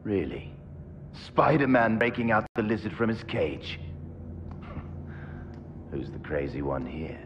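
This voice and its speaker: An actor playing a grave, wary scientist in a video game, gravelly and articulate, with an ominous, deliberate delivery. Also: male voice, lowish voice, expressive, lo-fi sound, a little hiss or hum, heavily acted. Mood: wary, intense. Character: grave male scientist, wary asylum-held former monster. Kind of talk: scripted video game acting. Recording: music behind, at times echoey and distant.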